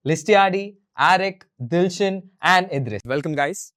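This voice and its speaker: A man hosting a roundtable panel, moving it along with short, upbeat prompts and quick reactions. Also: high-pitched, animated, a bit bright. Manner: upbeat, brief interjections. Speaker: host, moderator. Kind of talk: roundtable discussion.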